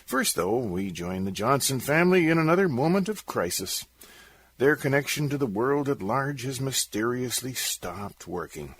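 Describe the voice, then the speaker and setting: A man's voice: mid-range voice, husky. A man hosting a radio show, reading a scripted programme introduction. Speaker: radio host.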